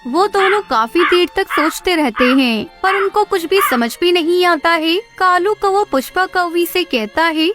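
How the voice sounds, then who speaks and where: Female narrator for children's fables, extremely high voice, scripted storytelling over a music bed. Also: unhurried, hardly any pauses, a bit bright.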